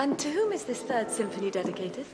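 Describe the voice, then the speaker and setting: A woman's voice: a little high, very animated, fast. A woman playing a poised, idealistic noblewoman in a period drama, outspoken and challenging. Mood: curious, poised. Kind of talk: naturalistic television period drama acting. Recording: music behind the voice.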